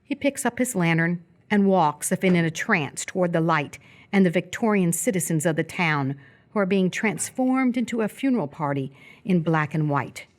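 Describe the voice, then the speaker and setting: A woman's voice: on the low side, animated, normal speed. A woman reading scripted stage directions as the narrator of a live radio theatre piece. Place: live stage performance before an audience.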